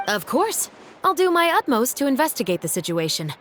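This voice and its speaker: A woman voicing a composed, dutiful female officer in a video game, speaking in a dry, formal, measured way. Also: high-pitched, big pitch swings, fairly bright tone, a little hiss or hum. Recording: music behind the voice.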